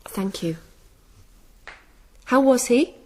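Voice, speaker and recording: A woman's voice: a little high, lively intonation, drawn-out words, a little hiss or hum. An actress playing a headstrong, earnest young noblewoman in a radio drama. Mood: earnest, anxious.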